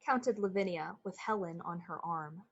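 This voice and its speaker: A woman's voice: on the low side, normal speed, muffled recording, slight background noise. A woman giving scripted literary narration as the storyteller of an old-fashioned radio play.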